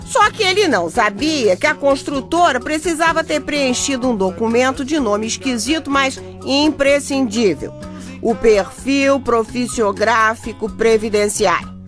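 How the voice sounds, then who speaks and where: High-voiced, wry Brazilian wife narrating. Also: female voice, unhurried, a bit bright. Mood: wry storytelling. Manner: wry, conversational, addressing listeners. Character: chatty, exasperated bricklayer's wife telling the tale. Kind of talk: radio soap opera narration. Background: music under the voice.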